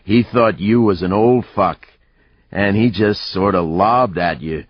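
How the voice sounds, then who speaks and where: A man's voice: lowish voice, slow pace, slightly rough, no echo, highs cut off, pitched above the performer's own voice. A man voicing a violent, foul-mouthed older outlaw in an audiobook, curt and threatening. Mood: gleeful.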